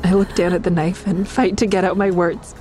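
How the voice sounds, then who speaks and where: An actress playing a frightened woman who tells her own ordeal in an audio drama, with tense, measured storytelling. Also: lowish voice, little pitch movement, warm tone, close to an everyday voice, poor audio. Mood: tense narration. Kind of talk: dramatic first-person narration and dialogue. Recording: music behind the voice.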